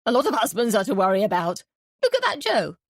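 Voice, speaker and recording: A woman reading an audiobook, voicing an angry, shrill, indignant older landlady. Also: wildly swooping pitch, fast, no room sound, clean studio sound, exaggerated voice.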